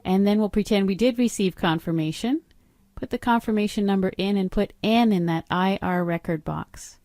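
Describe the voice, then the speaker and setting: A woman's voice: mid-range voice, moderate pace, darkish tone, dry recording, studio quality. A middle-aged woman narrating a screen-recorded training walkthrough, explaining each step in a matter-of-fact instructional way. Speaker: software trainer.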